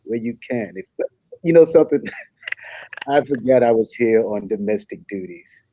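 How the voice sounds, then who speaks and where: A man's voice: lowish voice, expressive, normal speed, mellow, natural voice, low-quality recording. A man playing a polite, earnest young African prince with formal, hesitant courtesy in a comedy table read. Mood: gentle, earnest. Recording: clear background noise with clicks.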